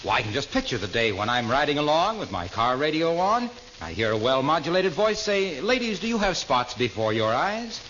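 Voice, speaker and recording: Bright, high-voiced radio pitchman. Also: big pitch swings, fairly quick. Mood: salesmanlike. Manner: polished, enthusiastic, salesmanlike. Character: breezy, relentlessly cheerful sponsor pitchman pal. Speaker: radio announcer delivering sponsor plugs. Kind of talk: 1940s radio comedy and advertising delivery. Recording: muffled old radio recording, faint noise.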